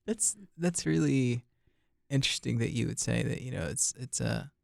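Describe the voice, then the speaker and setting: A male podcast host asks friendly questions, stumbling through restarts and laughing easily. His voice has a gravelly fry, recorded close and dry with no room sound. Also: medium pitch, normal speed, darkish tone, no room sound. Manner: hesitant restarts, laughs a lot, encouraging. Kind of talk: casual interview chat.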